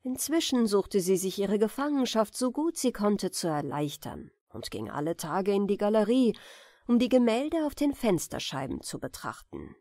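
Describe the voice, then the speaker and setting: A woman narrator giving a measured, careful, expressive reading of a fairy tale as a bedtime audiobook. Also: mid-range voice, dry recording, studio quality.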